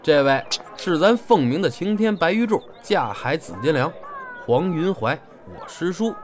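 A man acts a young, outgoing apprentice in a radio play. He is breezy and joking, fairly high in pitch and very animated. Music runs faintly behind. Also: moderate pace, a faint crowd, natural voice. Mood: breezy, proud. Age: young adult. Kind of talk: Chinese audio drama acting.

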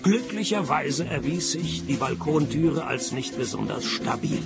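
An actor playing a world-weary, sardonic private detective in a radio play, narrating and talking in a laconic deadpan. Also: male voice, a little high, unhurried, creaky, over loud music. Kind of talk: first-person narration and dialogue in radio play.

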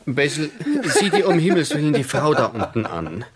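Man acting amused gentleman amid other voices. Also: medium pitch, big pitch swings, moderate pace, crowd noise, natural voice. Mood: amused, sheepish. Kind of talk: German radio drama acting.

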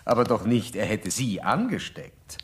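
An actor playing a self-assured, insistent psychoanalyst in a German radio drama, questioning with pedantic, learned formality. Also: male voice, lowish voice, very animated, unhurried, a little hiss or hum. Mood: accusing, triumphant. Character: probing, self-assured male doctor psychoanalysing a young woman.

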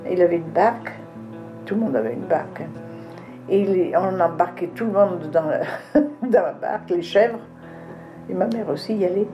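An older woman telling childhood memories in a relaxed, lively storytelling way. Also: fairly low pitch, moderate pace, pauses often, muffled recording, over music. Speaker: interviewee recalling childhood. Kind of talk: casual reminiscing.

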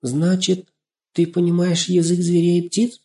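A man voicing a ringing, high-pitched tender little girl. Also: moderate pace, dark tone, lightly acted. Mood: glad, curious. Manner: exclaiming, full of questions. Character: young blind girl, affectionate, curious, easily frightened.

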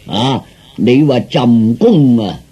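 A man's voice: mid-range voice, lively intonation, slow, dark tone, slightly rough. A man playing a pompous, gullible self-styled emperor of fifty-nine in a comic audio story, with hesitant, mock-regal speech. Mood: pompous scolding.

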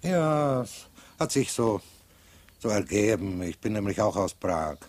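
A man's voice: fairly low pitch, sing-song, a little slow, a little mellow. An actor playing an older, wry émigré man in a radio drama, colloquial and given to hesitant repetitions. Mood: wry, curious.

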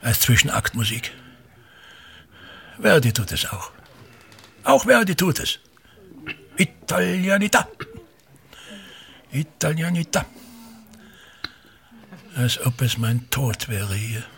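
A man's voice: mid-range voice, big pitch swings, takes it slowly, creaky, pauses often, fairly bright tone, full character voice. An older man playing a pompous, bitter, grandiose actor-manager and father in a radio play, with declamatory, ruminating delivery. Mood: pompous musing. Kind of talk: German radio play acting.

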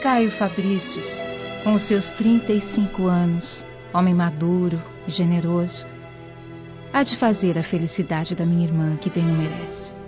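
An actress playing a gentle, pious young Roman woman in a radio drama, solemn and formal. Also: fairly low pitch, fairly even intonation, measured pace, natural voice. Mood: wistful. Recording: dull lo-fi audio, sad music.

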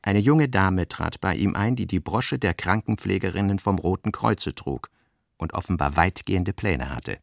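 Male narrator, lowish pitch, creaky gravelly texture, scripted literary reading, dry close studio sound. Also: expressive, normal speed, dry recording.

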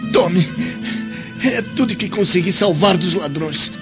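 A man's voice: sits high, a little slow. An actor playing an imperious, injured young man in a radio drama, strained with pain and whining impatiently. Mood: irritated, haughty. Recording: dull lo-fi with music behind.

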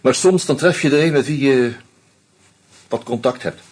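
Guy doing a calm sarcastic detective, real husky voice, old radio play. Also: mid-range voice, normal speed, natural voice. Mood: cool. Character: shrewd male investigator, calm, sardonic, adult. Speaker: detective confronting a killer. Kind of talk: radio drama acting.

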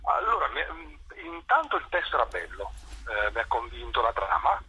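A man answering as a theatre director in an informal radio interview, haltingly, often repeating words as he searches for the next one. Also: medium pitch, sing-song, pushed and bright, husky. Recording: dull, band-limited radio or phone line.

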